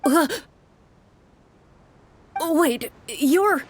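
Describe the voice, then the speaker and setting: A woman voicing an anxious, excitable townsman, flustered and exclaiming, in a video game role-play. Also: high voice, drawn-out words, slight background noise, voice pushed up, heavily acted. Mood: flustered, overjoyed. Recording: music behind the voice.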